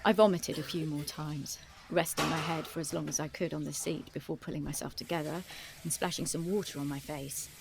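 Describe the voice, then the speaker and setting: A woman's voice: deep voice, fairly even intonation, a little fast, gravelly, heavy background noise. An actress playing an anxious, frank young woman who narrates her own story in an intimate, wry, confessional way and acts out her dialogue. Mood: strained narration. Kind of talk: confessional first-person narration with acted dialogue.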